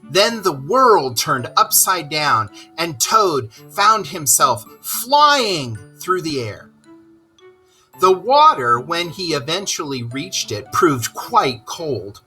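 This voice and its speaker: Male children's narrator, expressive and deliberate, with a bright, forceful tone and unhurried pace. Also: a little high, faint music behind. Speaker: storyteller reading aloud to children.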